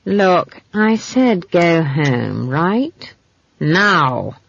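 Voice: medium pitch, very animated, very slow, gravelly, fairly bright tone, dry recording, highs cut off, full character voice. A narrator voicing a cold, brusque, overburdened man who leads a caravan in a storybook reading. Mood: exasperated. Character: gruff, irritable, overburdened man leading a trade caravan.

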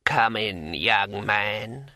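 Storybook undead elder: brittle old voice, very slow and halting, swooping pitch, musing and muddled. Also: male voice, expressive, bright, forceful tone, no room sound, clean studio sound, heavily acted. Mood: musing, conversational. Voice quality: brittle, aged. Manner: slow, halting, drawn-out delivery. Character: slumped dead old man, conversational, rambling, confused about time.

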